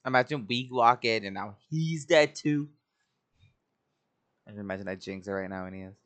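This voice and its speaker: A man's voice: fairly low pitch, lively intonation, moderate pace, a bit bright. A man giving casual, joking game commentary, often muttering in short clipped fragments. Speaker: gaming streamer. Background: game music.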